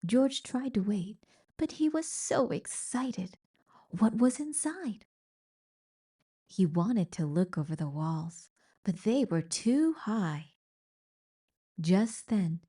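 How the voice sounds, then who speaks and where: Slow, mellow woman reading a children's story. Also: mid-range voice, slightly rough. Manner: expressive, deliberate storytelling for young listeners. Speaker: storybook reader. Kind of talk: children's picture book read-aloud.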